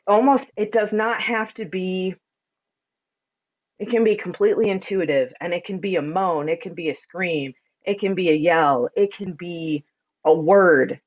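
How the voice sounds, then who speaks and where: A woman with a deep, resonant, loud voice talking expressively and reflectively in a conversational interview, with earnest emphasis. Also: unhurried, highs cut off. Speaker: podcast guest, spiritual sound and ceremony practitioner.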